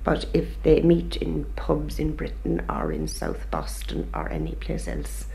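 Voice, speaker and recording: A woman's voice: low voice, very animated, unhurried, vocal fry, warm tone, a little hiss or hum, rough recording. A woman explaining her views steadily in an interview. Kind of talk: casual interview answer.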